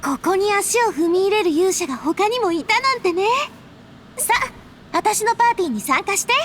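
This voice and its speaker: Very high, regal game heroine. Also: female voice, expressive, quick pace, a little hiss or hum. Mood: confident, inviting. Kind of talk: Japanese game voice acting.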